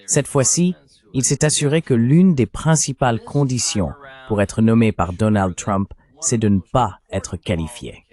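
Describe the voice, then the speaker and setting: A man's voice: on the low side, unhurried, mellow. A man's AI dubbing voice giving a psychologist's answers in an interview, as a translated voice-over.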